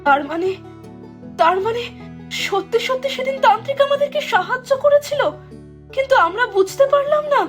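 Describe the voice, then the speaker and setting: A woman's voice: very high-pitched, a little fast, pauses often, faint music behind, voice pushed up. A woman voicing an emotional village wife. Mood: astonished. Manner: exclaiming, expressive.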